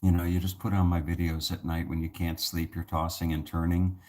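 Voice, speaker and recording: A man's voice: sits low, little pitch movement, fairly quick, darkish tone. A man hosting his own channel, talking casually to the camera with a soothing voice, rambling through many restarts and fillers. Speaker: channel host.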